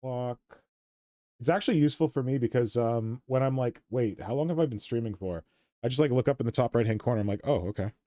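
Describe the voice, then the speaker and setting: Mellow, dry-humored livestream host, man. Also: medium pitch, fairly quick, no room sound. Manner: dry, teasing, chatty. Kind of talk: casual chat while crafting. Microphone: laptop.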